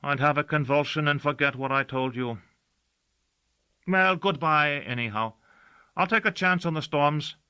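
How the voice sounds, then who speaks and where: A man voicing a cheerful, excitable heavy-set servant who keeps forgetting things. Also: a little high, moderate pace, pauses often, smooth, pitched above the performer's own voice.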